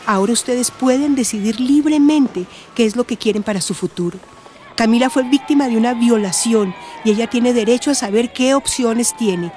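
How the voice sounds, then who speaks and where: Actress as a mellow, higher-pitched woman counsellor. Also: moderate pace, a little hiss or hum, lightly acted. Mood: earnest, informative. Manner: reassuring, explanatory, gentle. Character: calm, supportive woman counsellor advising a family. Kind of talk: radio drama acting.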